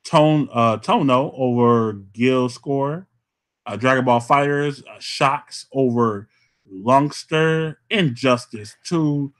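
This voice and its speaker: A man hosting a casual podcast, talking loosely and ending nearly every phrase with "man" as he shouts out players. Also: mid-range voice, slow pace. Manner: laid-back, says "man" constantly, shouting out players. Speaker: podcast host.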